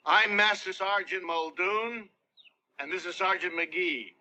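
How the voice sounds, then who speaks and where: An actor playing a composed army master sergeant answering reporters in a 1960s war film, heard through a poor playback. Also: male voice, sits high, slow pace, bright, forceful tone, a little hiss or hum, exaggerated voice. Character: steady male army master sergeant briefing press. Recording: poor film clip played back.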